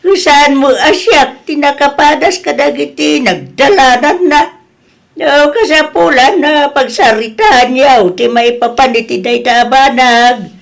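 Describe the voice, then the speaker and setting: Woman playing a high-voiced, slow-talking Ilocano mother. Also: animated, vocal fry, full character voice. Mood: firm, advising. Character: older mother, plain-spoken, advising and consoling her grown child. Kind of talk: Ilocano radio-style drama acting.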